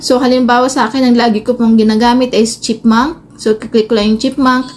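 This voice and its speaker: A Filipino woman with a fairly high voice walks listeners through a phone tutorial. She explains casually and a little hesitantly, step by step. There is light noise in the amateur recording. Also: level tone, a little fast, a little mellow. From Philippines. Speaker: tutorial host, vlogger.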